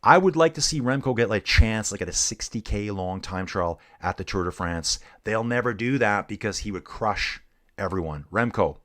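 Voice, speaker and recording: A man's voice: on the low side, animated, normal speed, fairly bright tone. A middle-aged man casually commenting on a bike race live and chatting with viewers, laid-back and saying "dude" a lot. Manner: laid-back, says "dude" often, reads chat aloud.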